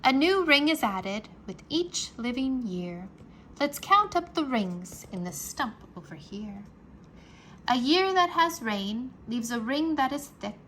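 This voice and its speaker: Bright, animated woman reading to children. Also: high-pitched, a little hiss or hum. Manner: expressive, deliberate enunciation for young listeners. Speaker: teacher reading a children's book aloud. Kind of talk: children's read-aloud narration, rhyming nonfiction.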